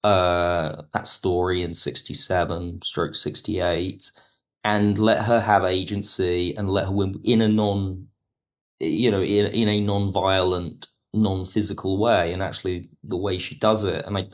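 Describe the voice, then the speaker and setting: A man speaking as a writer guest in a reflective podcast interview, circling back and rephrasing as he explains. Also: low-pitched. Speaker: guest, audio drama writer.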